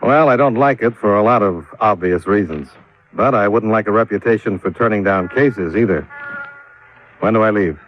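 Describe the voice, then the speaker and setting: An American actor playing a wry, steady private investigator in a vintage radio drama, talking and narrating in a measured, dry, matter-of-fact way. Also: male voice, fairly low pitch, lightly acted. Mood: dry, casual. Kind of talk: 1950s radio drama acting and first-person narration. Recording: muffled vintage radio recording with music.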